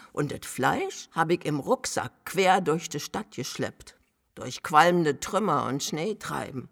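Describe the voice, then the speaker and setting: A man's voice: on the high side, creaky, fairly bright tone, slightly rough, full character voice. A man playing a garrulous, stubborn old Berlin widow in a radio drama, rambling proudly in colloquial Berlin dialect.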